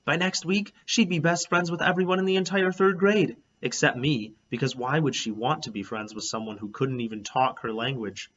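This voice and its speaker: Guy reading a kids book out loud, real bright punchy voice, expressive, kinda muffled recording. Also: medium pitch, moderate pace, few pauses. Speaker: teacher reading a children's book aloud. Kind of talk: expressive storybook read-aloud in a child narrator's first person.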